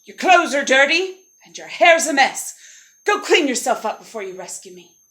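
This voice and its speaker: A woman's voice: high-pitched, big pitch swings, normal speed, pushed and bright, pitched above the performer's own voice, full character voice. A woman storyteller voicing a vain, fussy young prince who scolds in a snooty, emphatic way. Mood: disdainful.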